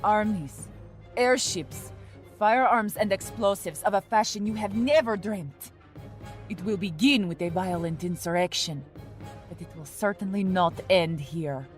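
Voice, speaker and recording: An actress playing a mysterious, commanding royal agent in a steampunk audio drama, formal, cryptic and coolly condescending. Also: female voice, medium pitch, a little slow, faint music behind, natural voice. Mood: ominous warning.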